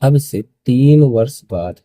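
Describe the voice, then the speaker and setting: A man's voice: medium pitch, lively intonation, slow, mellow, no room sound. A man in his early twenties teaching school maths step by step, repeating phrases and asking questions that he answers himself. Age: early 20s.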